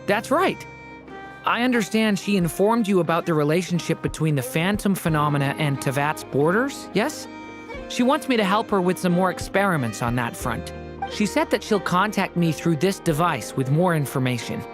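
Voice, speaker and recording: A man playing a polite, earnest, gentle youth in a video game. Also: high-pitched, animated, moderate pace, over music. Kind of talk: scripted video game dialogue.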